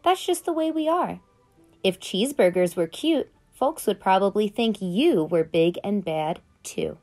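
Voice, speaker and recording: A sly, smooth-talking wolf, voiced by a woman reading a picture book, spins his version of events in a chatty, conspiratorial way. The pitch leaps and dips dramatically from line to line. Soft music plays underneath. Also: expressive, unhurried, close to an everyday voice. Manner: chatty, conspiratorial storytelling with big swings. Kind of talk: children's picture book read aloud.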